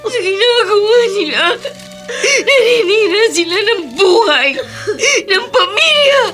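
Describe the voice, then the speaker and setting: A grief-stricken young daughter in tears, played by a radio actress. Her voice is very high and bright, and she speaks slowly through her crying. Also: animated, faint music behind. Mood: sobbing. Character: young woman, grieving daughter. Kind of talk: radio drama acting.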